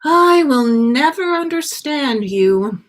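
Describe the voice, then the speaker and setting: A haughty young wizard man from a storybook, voiced by a woman. He drawls slowly and sardonically, easily rattled into disbelief, with pitch that swings widely. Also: lively intonation. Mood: startled, incredulous.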